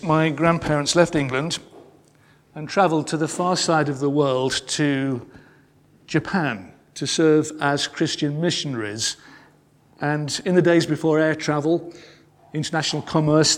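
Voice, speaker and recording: A man recounts history in the slow, solemn cadence of a sermon, pausing and slowing on key phrases. His voice sits slightly high for a man. The sound carries a long reverb, as in a large church. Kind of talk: sermon-style storytelling.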